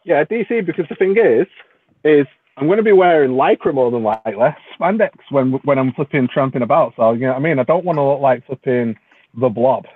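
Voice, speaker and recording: A man's voice: medium pitch, fairly quick, slightly rough. British man chatting on a tinny line. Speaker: community chat participant. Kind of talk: casual group chat. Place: online voice chat. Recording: tinny phone-like line, faint noise, clicks.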